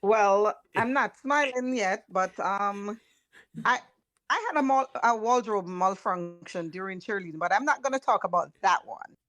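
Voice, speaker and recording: A middle-aged woman with a Jamaican accent and a naturally hoarse voice, casually telling a school memory as a guest. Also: mid-range voice, a little slow, fairly bright tone, no room sound. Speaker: guest, former student. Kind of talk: casual storytelling on a video call.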